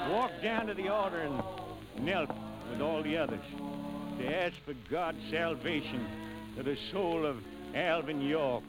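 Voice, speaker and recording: Male narrator, slightly high pitch, spoken ballad delivery with driving rhythm over very loud music. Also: poor audio.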